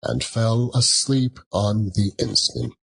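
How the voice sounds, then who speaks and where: A man's voice: lowish voice, slow pace, vocal fry, breathy edge. A man narrating a classic story as a dramatic audiobook reading.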